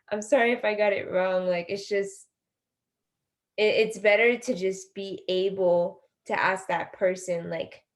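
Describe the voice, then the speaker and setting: A woman's voice: medium pitch, a little mellow. A woman sharing personal thoughts on a panel in a casual, self-effacing way, leaning often on the word "like". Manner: informal, self-effacing, frequent "like". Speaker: panel participant.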